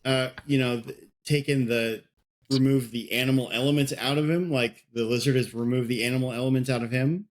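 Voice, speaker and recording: A man's voice: mid-range voice, fairly even intonation, a little fast. A man in his forties co-hosting a podcast in casual conversation. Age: 40s. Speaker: podcast co-host. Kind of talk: casual chat. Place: home room, on a group video call.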